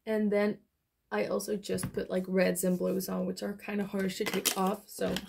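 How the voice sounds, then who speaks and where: A woman's voice: medium pitch, little pitch movement, moderate pace, gravelly, noisy background. A young woman chatting casually through a makeup tutorial, rambling with many fillers. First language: Dutch. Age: young adult. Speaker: beauty vlogger. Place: small bedroom, indoors.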